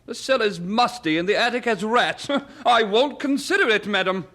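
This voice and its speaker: A man's voice: high voice, normal speed, fairly bright tone. An actor playing a fussy, polite elderly Englishman in an old-time radio drama, chatty and a little hesitant. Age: older.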